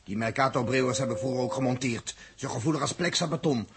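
An actor playing an irritable, nervy spaceship radio engineer under strain in a 1960s radio drama, grumbling and terse. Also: male voice, medium pitch, quick pace, creaky, noisy background, close to an everyday voice. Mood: grumbling, tense. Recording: old radio recording, murmur behind.

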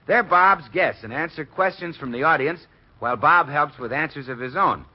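A male radio announcer with a fairly high voice, reading a scripted promotion in 1950s style. The old broadcast sounds muffled. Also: normal speed.

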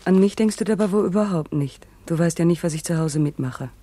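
An actress playing a practical, frustrated young fiancée in a 1960s German radio drama, measured and reproachful. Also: female voice, on the low side, warm tone, no room sound, close to an everyday voice. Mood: hurt.

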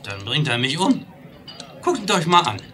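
Flustered telegraph clerk, piercingly bright. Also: male voice, on the high side, wildly swooping pitch, measured pace, full character voice. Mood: agitated. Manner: hesitant, incredulous, protesting. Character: flustered, timid telegraph operator of the Wild West. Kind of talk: 1970s German radio play acting. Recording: slightly noisy 1970s record.

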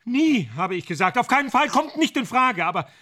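A man in a German radio play acting an anguished, guilt-ridden screenwriter, halting and agitated as he pleads. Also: very high-pitched, sing-song, pushed and bright, exaggerated voice. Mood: agitated, defensive.

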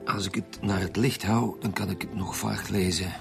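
An actor with a Flemish accent playing an informal, inquisitive police detective in a radio drama. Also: male voice, low voice, steady tone, normal speed, vocal fry, music in the background. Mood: mischievous curiosity.

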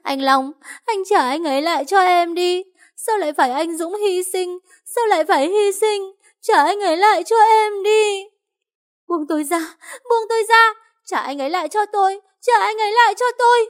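A woman's voice: very high voice, moderate pace, pitched above the performer's own voice. A woman voicing a grieving young woman who screams and pleads through sobs. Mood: anguished sobbing. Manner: wailing, sobbing, pleading. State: crying.

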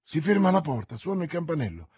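A man's voice: a little high, big pitch swings, fast talker, darkish tone, slightly rough, exaggerated voice. A man voicing an anxious, lovestruck young woman, proud and trusting, as a character in an audiobook. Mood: breathless, eager. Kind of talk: audiobook character voice, classic novel.

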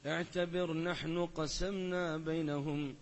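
A man's voice: on the high side, fairly even intonation, unhurried. A man reciting lines of poetry aloud to his teacher in a lesson. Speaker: student reciting verses.

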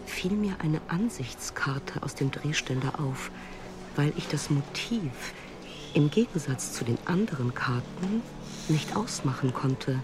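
A man performing a measured, observant first-person literary narration in a radio play. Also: a little high, expressive. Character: man recounting an odd night and morning, observant.

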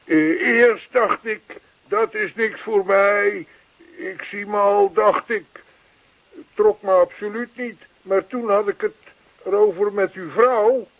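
A man's voice: high-pitched, takes it slowly, no room sound, full character voice. A man playing an earnest, chatty older neighbour in a radio play, persistent, reassuring and long-winded. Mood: earnest, reminiscing. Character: earnest, chatty older male neighbour zealous about yoga. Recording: thin, phone-like old radio play recording.

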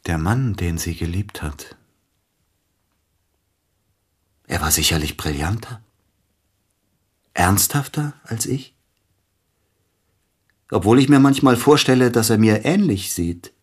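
A man's voice: on the low side, unhurried, pauses often, darkish tone, breathy edge. A man playing an aging, bitter, melancholy celebrated pianist in a radio play, speaking in a formal, brooding, theatrical way. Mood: bitter, wistful.

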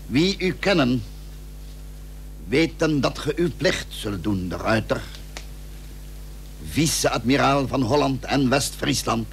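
An actor playing a courteous, persuasive statesman in a period radio drama, with formal, measured, confiding speech. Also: mid-range voice, expressive, vocal fry. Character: courteous, persuasive male statesman of the Dutch Republic. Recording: humming, noisy old radio recording.

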